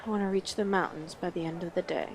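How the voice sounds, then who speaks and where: A woman's voice: lowish voice, fairly even intonation, quick pace, slight background noise, lightly acted. A woman playing a brash, teasing female orc guide in a fantasy audio roleplay, with a blunt, sardonic manner. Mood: teasing, bossy.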